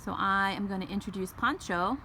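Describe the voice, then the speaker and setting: A young woman hosting a casual interview, speaking earnestly and warmly, with many "um"s and "really"s. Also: medium pitch, fairly quick. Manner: earnest, gushing, many fillers. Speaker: interviewer, host. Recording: clear street and vehicle noise.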